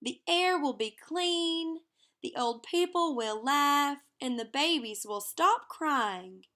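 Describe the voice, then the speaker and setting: A woman voicing an eager, imaginative little girl from a children's storybook, gushing with excitement. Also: high voice, slow, pitched above the performer's own voice, heavily acted. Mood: exuberant. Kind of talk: children's storybook character reading.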